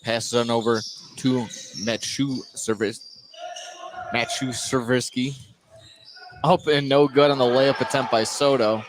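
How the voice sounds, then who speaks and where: A male play-by-play announcer calls live basketball for radio in clipped phrases. His voice sits at an ordinary pitch. Clear gym noise runs behind him. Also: fairly even intonation, measured pace, pauses often. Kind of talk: live sports radio commentary. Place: indoor basketball gymnasium. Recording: noisy gym ambience, bouncing basketballs. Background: gym noise and basketball bounces.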